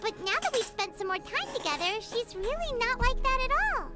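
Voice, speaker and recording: An extremely high, squeaky little fairy girl for a video game. She is an eager, excitable companion whose pitch swoops all over the place. Music plays prominently underneath her childlike chatter. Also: normal speed. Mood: chatty. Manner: refers to self in third person, animated. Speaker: guide and companion.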